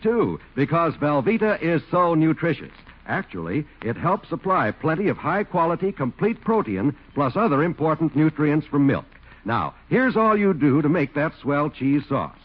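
Hearty, husky old-time radio announcer. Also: male voice, on the high side. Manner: hearty and persuasive. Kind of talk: live radio commercial. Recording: dull old radio broadcast.

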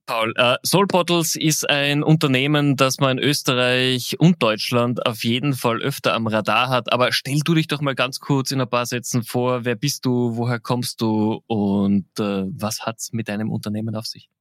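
A man's voice: mid-range voice, fairly even intonation, normal speed, hardly any pauses, a bit bright, no echo, clean studio sound. Upbeat male podcast host. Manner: enthusiastic, chatty questioning. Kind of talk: podcast intro and interview.

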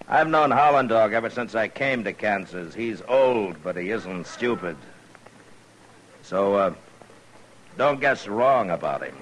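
A faintly noisy vintage radio western carries a very low voice. It belongs to a frontier marshal, patient and authoritative, who chooses words slowly and dryly. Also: male voice, expressive, a bit bright. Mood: stern warning. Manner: measured, deliberate, laconic. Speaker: lead actor in a western radio drama. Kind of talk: 1950s radio drama acting.

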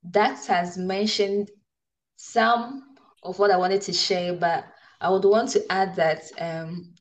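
A woman's voice: mid-range voice, fairly even intonation, takes it slowly. Female panellist, Ghanaian accent, mid-range voice, reflective conversational style. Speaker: panel guest.

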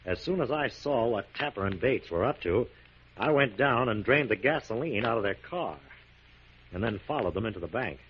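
A man's voice: lowish voice, lively intonation, normal speed, slightly rough. An actor playing a composed, self-assured male detective in a 1940s radio drama. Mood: calm, explaining. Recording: muffled, noisy 1940s radio recording.

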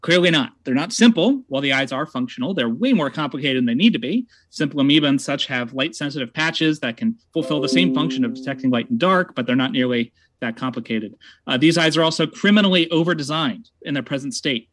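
A man's voice: fairly high pitch, animated, fast talker, a bit bright. A man making a prepared debate argument over a video call, emphatic and wry.

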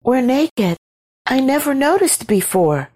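Woman playing naive young storybook woman, raised light pitch, trusting, innocent, unhurried. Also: sing-song, exaggerated voice. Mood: trembling, uneasy. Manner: light, naive, bright-eyed.

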